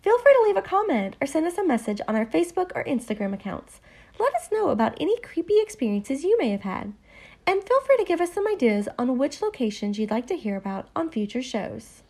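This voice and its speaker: A woman co-hosting a podcast, reading a scripted history with lively, earnest emphasis. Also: high voice, brisk. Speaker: podcast co-host. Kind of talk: scripted narration.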